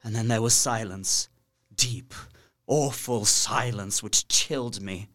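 A man's voice: lowish voice, slow, a bit bright. An actor playing a distressed young captive narrating his journal in an audio drama, earnest, intense and formal. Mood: fearful. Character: distressed young Englishman imprisoned in a castle, journaling.

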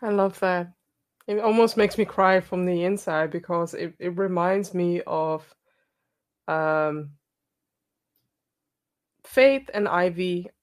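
Low-pitched woman moderating a panel. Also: fairly even intonation, slow pace, long pauses. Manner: enthusiastic, affirming.

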